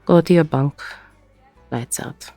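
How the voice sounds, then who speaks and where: A woman playing a weary, regretful ex-convict bar owner in an intimate audio roleplay, with a husky, gravelly voice and a reflective delivery full of pauses. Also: deep voice, warm tone, no echo, faint music behind, full character voice. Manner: slow, reflective, pausing mid-sentence.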